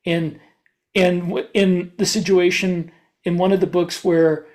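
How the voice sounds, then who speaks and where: A man's voice: high voice, little pitch movement, unhurried, darkish tone. A man who hosts a podcast and writes science fiction, talking casually and restarting his explanations as he goes.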